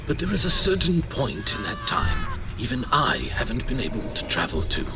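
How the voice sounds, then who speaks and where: A man playing a grave old archivist and mentor in an audio drama, telling history in a measured, formal, portentous way. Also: mid-range voice. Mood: reflective, foreboding. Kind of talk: audio drama acting, storytelling narration. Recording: very loud music behind voice.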